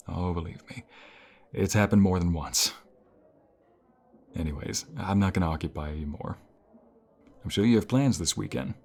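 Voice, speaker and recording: Man acting as a low, husky, caring professor. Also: full character voice. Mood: light, caring. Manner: gentle, reassuring, unhurried. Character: caring, encouraging male college professor, gently self-deprecating. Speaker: voice actor in an audio role play. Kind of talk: intimate ASMR role play, one-sided conversation.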